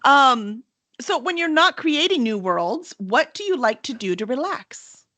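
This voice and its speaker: A woman interviewing in a casual, playful way, chatty, expressive and enthusiastic. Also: high voice, measured pace, no room sound. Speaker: podcast interviewer.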